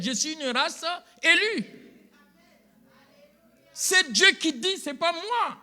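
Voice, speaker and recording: Male pastor, middle-aged, high bright voice, unhurried call-and-response church preaching. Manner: call-and-response preaching, rising to loud emphatic peaks. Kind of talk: sermon.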